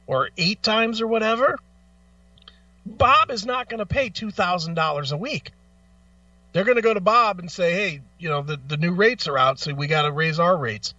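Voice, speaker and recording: A man's voice: high voice, bright, forceful tone, no echo, far from the mic, lo-fi sound. A man co-hosting a casual radio talk show, arguing his opinions bluntly and with plenty of swearing. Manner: blunt, profane, argumentative.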